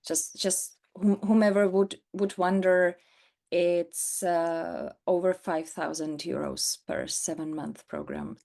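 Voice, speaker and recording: Woman with Czech accent, coach guest; slow, halting delivery, low-ish register. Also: animated, creaky. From Czech Republic. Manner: warm, halting, repeats words as she searches for phrasing. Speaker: transformative coach and mentor. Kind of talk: casual interview chat.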